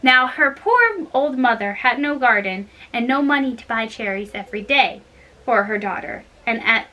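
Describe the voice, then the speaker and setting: A woman reading storybook tales aloud in a halting, deliberate way, with casual wry asides. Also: on the high side, animated, highs cut off. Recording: noticeable background noise.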